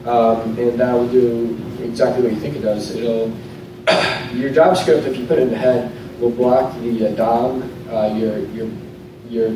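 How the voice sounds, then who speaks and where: A man in his thirties giving a casual technical talk with a live demo in a hotel conference room, loose and conversational, often restarting his sentences. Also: medium pitch. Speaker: conference speaker. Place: hotel conference room, in front of a projector screen. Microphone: lectern mic, recorded from a camera at the back of the room. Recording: very echoey room, voice from far off, clear noise.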